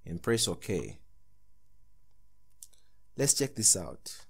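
Low-voiced man hosting a tutorial. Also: wildly swooping pitch, drawn-out words, vocal fry, husky. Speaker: tutorial host. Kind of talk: tutorial narration.

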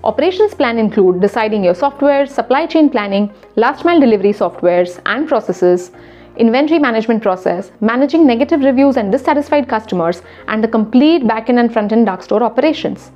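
A woman's voice: fairly high pitch, animated, darkish tone, lo-fi sound, faint music behind. A young woman, a retail consultant presenting a scripted explainer to camera in a studio, instructive and emphatic. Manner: brisk, instructive, emphatic with hand gestures.

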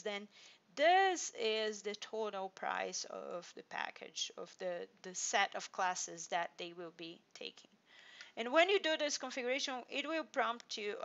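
Brazilian woman, native Portuguese speaker, mid pitch, demos software with many ums over a muffled computer mic. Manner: unhurried, many fillers and restarts. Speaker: software company presenter. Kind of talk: screen-share software walkthrough.

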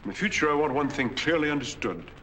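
An actor playing a cold, domineering wealthy industrialist who speaks curtly and dismissively, on an old film soundtrack. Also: male voice, on the high side, fast, slight background noise. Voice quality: curt, flat, dismissive delivery.

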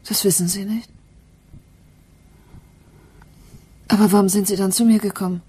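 A woman's voice: mid-range voice, fairly even intonation, racing, mellow, breathy edge. An actress playing a grieving, guarded mother in a German radio crime drama, subdued and reserved. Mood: bitter. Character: grieving, guarded, bitter mother of a dead child.

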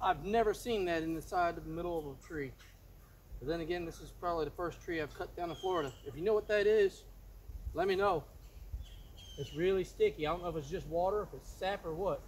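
Middle aged guy vlogging outside, high voice, talks quick, noisy background. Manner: chatty, plainspoken, asks viewers to comment. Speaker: channel host. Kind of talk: casual outdoor vlog. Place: outdoors on wooded rural property.

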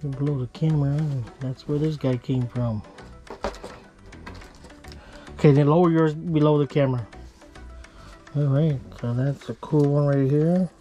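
Relaxed Minnesota fishing vlogger, slightly higher voice, easy chuckling narration, action cam in a small shelter. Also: male voice, muffled recording, faint music behind. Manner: relaxed, chuckling, unhurried commentary. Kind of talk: casual narration while ice fishing.

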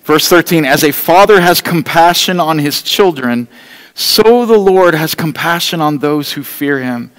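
A man's voice: fairly high pitch, animated, measured pace, a bit bright. A middle-aged man preaching a Sunday sermon from a church stage, earnest and pleading, talking straight to his congregation. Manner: walks the stage holding an open Bible. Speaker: pastor. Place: church stage, large room indoors. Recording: long reverb of a church hall.

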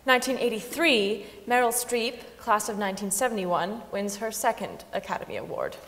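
A woman's voice: medium pitch, animated, moderate pace. A woman reading out names and dates from a concert hall stage. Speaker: reader announcing names and dates. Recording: faintly noisy, reverberant concert hall.

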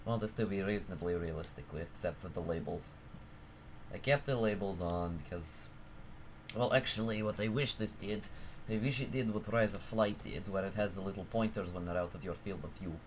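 A deep-voiced man chats through his flight sim session in a put-on Russian accent, drifting from dry asides to sudden excited yelps. A faint hum of room noise sits under his voice from a home desk mic. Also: fairly quick, poor audio. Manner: jokey, excitable, bursts of alarmed shouting. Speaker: gameplay commentator. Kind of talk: casual let's-play commentary.

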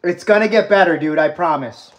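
A man's voice: high-pitched, a little fast. A young man from Hungary hosting a casual livestream, chatting with viewers and reading out their comments, filmed on a handheld phone in a house under renovation. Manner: chatty, reads viewer comments aloud, says guys often. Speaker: livestream host. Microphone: phone held at arm's length.

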